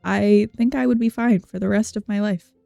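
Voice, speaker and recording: A woman's voice: mid-range voice, fairly even intonation, normal speed, gravelly, no echo. A young woman giving casual, rambling, playful game commentary as she thinks aloud. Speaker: game streamer. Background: soft game music.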